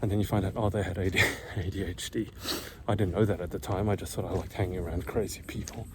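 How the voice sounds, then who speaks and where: A man's voice: low-pitched, fairly even intonation, fast talker, background noise. A middle-aged man whispering and out of breath as he walks uphill outside, talking through a casual vlog. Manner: whispering, hushed. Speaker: vlogger. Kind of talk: casual walking vlog monologue. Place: outdoors, walking uphill in a quiet neighborhood.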